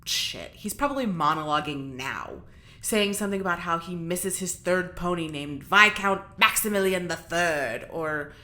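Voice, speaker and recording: A woman's voice: fairly low pitch, measured pace, bright, forceful tone. An actress playing an agitated, defiant woman prisoner in space, stammering and talking to herself as she fights panic in an audio drama monologue. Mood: bitter, sardonic.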